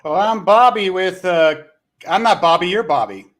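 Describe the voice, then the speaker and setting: Casual, higher-pitched male podcast host. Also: lively intonation, normal speed, a bit bright, a little hiss or hum. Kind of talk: casual chat.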